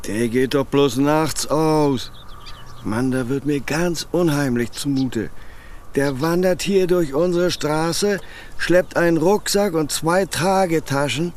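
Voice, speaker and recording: Gossipy old pensioner, grumbly and emphatic, talks slow, bit of outdoor engine noise. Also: male voice, fairly high pitch, a little mellow. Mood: conspiratorial, suspicious. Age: 60s or older. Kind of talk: German radio play dialogue.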